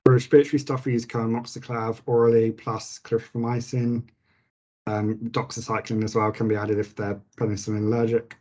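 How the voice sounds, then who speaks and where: A man giving an informal clinical teaching session to nurses, his voice slightly croaky, with frequent fillers and restarts. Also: mid-range voice, flat delivery, brisk, a little mellow.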